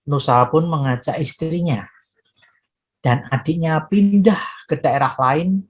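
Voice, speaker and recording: Slow-paced, low-voiced woman telling children's stories. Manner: slow, dramatic delivery addressing young listeners. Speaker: children's storyteller. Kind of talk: expressive storytelling for children.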